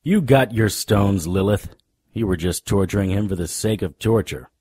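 A man playing a cocky, world-weary paranormal investigator, narrating a noir radio drama in a wry, deadpan way. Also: lowish voice, moderate pace, breathy edge, no room sound, clean studio sound. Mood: wry, cool.

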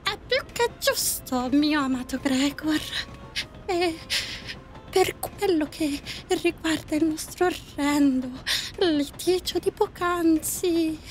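A woman's voice: high voice, slow pace, soft background music. A woman voicing a doting, melodramatic female fairy in an audio drama, gushing theatrically. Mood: sweet.

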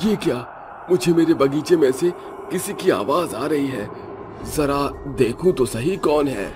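Man putting on a high, flustered cartoon villager. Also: a little slow, background noise, close to an everyday voice. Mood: puzzled. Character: flustered, startled male villager in his orchard.

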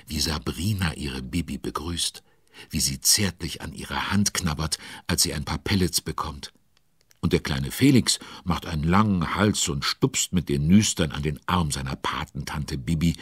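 A man's voice: moderate pace, no room sound, clean studio sound. A man narrating a children's audio drama as a chatty storyteller, playful and addressing listeners directly. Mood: playful storytelling. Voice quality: very deep, husky.

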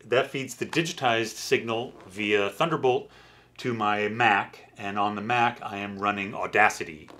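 A middle-aged man giving a casual technical explanation in a measured, thoughtful way. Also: lowish voice, bright, forceful tone. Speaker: company founder presenting his audio gear. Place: small wood-furnished listening room indoors.

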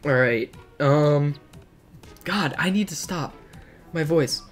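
A teenage boy, hoarse from hours of streaming, chatting casually and rambling while reacting to his chat in a dim bedroom. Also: on the high side, slow, soft background music.

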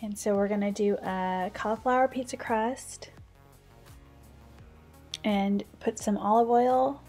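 A woman's voice: medium pitch, steady tone, slow, a little mellow, faint music behind. A woman in her thirties, a stay-at-home mom, giving a casual, practical voice-over as she cooks and shares kitchen tips. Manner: chatty, practical, explains tips as she goes.

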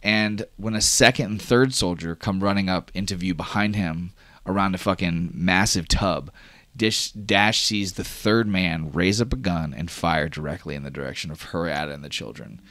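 A deep-voiced man narrates a tabletop game as game master. He rambles loosely, full of fillers and cursing. The recording is dry and close to the mic. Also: normal speed, a bit bright, no echo.

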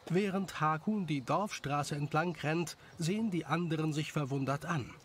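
A man's voice: on the high side, moderate pace. A man reading scripted narration of a children's story as its narrator. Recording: clearly noisy background ambience.

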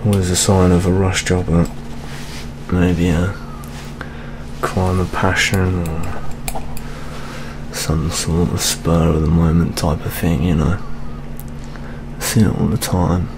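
A young British man playing a weary, jaded older police detective in a soft-spoken ASMR roleplay, muttering with gloomy gallows humour. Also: sits low, flat delivery, takes it slowly, background noise. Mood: wry, weary. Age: young adult.

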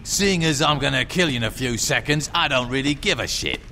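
An actor playing a brash, sarcastic street gang boss in a video game. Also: male voice, medium pitch, animated, brisk, sharp tone, a little hiss or hum. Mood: cool, dry. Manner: sarcastic, brash, foul-mouthed. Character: cocky, irreverent adult male street gang leader.

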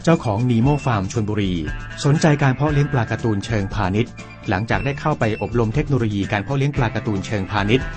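A male narrator reads a scripted documentary piece in a mid-pitched voice. Music plays clearly behind him. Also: fast talker.

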